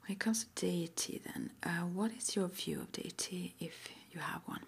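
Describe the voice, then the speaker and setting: A woman playing a calm, gentle witch school interviewer in an ASMR roleplay, whispering softly and reassuringly without hurry. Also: lowish voice, creaky. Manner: soft, unhurried, reassuring, with murmured acknowledgements.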